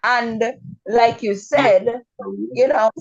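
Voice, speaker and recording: A middle-aged woman with a Jamaican accent talks slowly and frankly, often doubling her words and filling gaps with ums. She is speaking over a video call, and the sound is slightly muffled and dull. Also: fairly high pitch, steady tone. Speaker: webinar attendee. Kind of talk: casual remarks on a video call.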